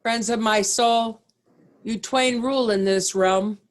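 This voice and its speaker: A woman's voice: on the high side, steady tone, takes it slowly. A woman playing a grave, dignified noble duke in a Shakespearean play reading. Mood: grave, commanding.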